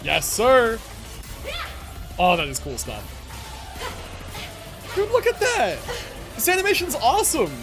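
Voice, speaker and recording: Young gamer reacting live, super slangy n excitable, voice jumps around, music in the back. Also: medium pitch, takes it slowly, long pauses. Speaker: video game livestreamer. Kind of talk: casual live gameplay reaction commentary. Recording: game music behind the voice. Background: video game music and sounds.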